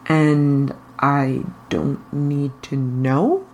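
Woman as smug male ex-villain, smooth, guarded, story dialogue. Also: very deep voice, steady tone, drawn-out words, a little mellow, slight background noise, exaggerated voice. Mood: wary. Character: smooth, wary, smirking man, reformed villain.

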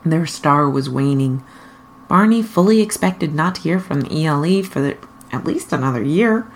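A woman reading a scripted story aloud as a fan fiction narrator. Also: sits low. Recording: faint noise behind the voice.

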